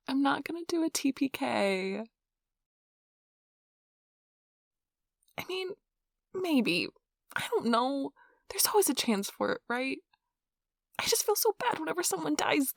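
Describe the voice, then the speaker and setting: A woman playing a nerdy, affectionate girlfriend in an ASMR roleplay, rambling softly and casually in a gushing, breathy voice. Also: high voice, sing-song, unhurried, no room sound, studio quality, exaggerated voice. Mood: playful, animated. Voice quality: soft, breathy, slightly husky. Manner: gushing, casual, giggly, chatty. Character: nerdy affectionate girlfriend, excitedly rambling about tabletop gaming. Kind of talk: soft-spoken ASMR roleplay, intimate rambling. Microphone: close microphone, dry.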